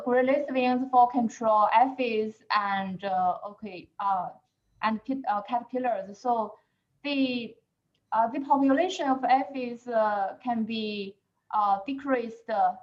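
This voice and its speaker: Hesitant, slow-talking woman researcher. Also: fairly high pitch, highs cut off. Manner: hesitant, many fillers, searching for words. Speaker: researcher presenter. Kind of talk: answering questions in a second language.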